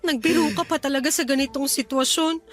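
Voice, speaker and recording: A woman's voice: high voice, fairly even intonation, fast, faint music behind, lightly acted. A woman playing an emotional, pleading young daughter in a Filipino radio drama, frightened for her sick mother. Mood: calmer, tearful.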